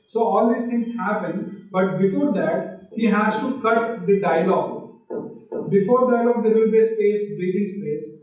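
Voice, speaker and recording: A man teaches film direction in a live online lecture. His voice sits fairly high for a man, and he explains patiently, often checking in with "right?". The sound is very echoey and a little distant from the mic. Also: darkish tone. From India. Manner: explanatory, asks listeners rhetorical questions.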